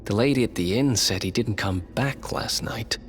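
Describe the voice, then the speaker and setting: An actor voicing a young, skeptical adventurer with a wry, informal manner in a video game. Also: male voice, lowish voice, moderate pace, slight background noise, lightly acted. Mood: puzzled. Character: young, skeptical, plain-spoken male adventurer. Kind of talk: video game cutscene acting. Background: background music.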